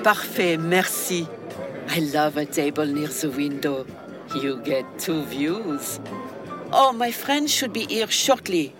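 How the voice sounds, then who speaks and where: An actress playing a wealthy, worldly older widow, elegant and teasing, in an audio drama. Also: female voice, low voice, lively intonation, slow pace, music in the background, a crowd in the background. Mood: gracious.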